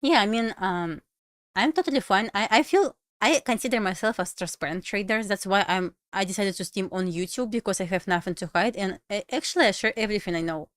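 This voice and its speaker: A woman with a Russian accent, a native Russian speaker, chatting casually on a trading livestream in halting English with restarts. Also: mid-range voice, no echo, studio quality. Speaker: livestream trader.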